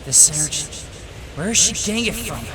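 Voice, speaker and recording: A man's voice: high-pitched, animated, brisk, background noise. An actor playing a desperate, wounded male fighter in an anime-style audio drama, strained and exclamatory. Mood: stunned disbelief.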